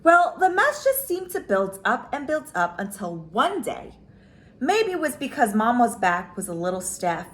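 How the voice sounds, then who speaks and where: A woman reading a children's picture book aloud as a storyteller, expressively, drawing out key words for emphasis. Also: fairly high pitch.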